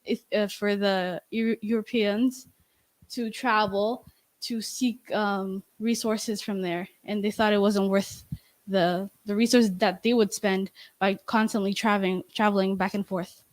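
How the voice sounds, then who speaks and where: A young woman giving a class presentation and answering questions, talking in a halting way with many restarts and fillers, into a handheld microphone in a bright meeting room. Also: mid-range voice, steady tone, no room sound. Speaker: student presenter.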